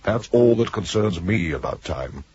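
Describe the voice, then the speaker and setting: An actor playing a peevish, pragmatic guardian computer with a husky voice in a 1980s radio drama. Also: male voice, low voice, animated, unhurried, darkish tone, full character voice. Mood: impatient. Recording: dull, muffled old radio recording.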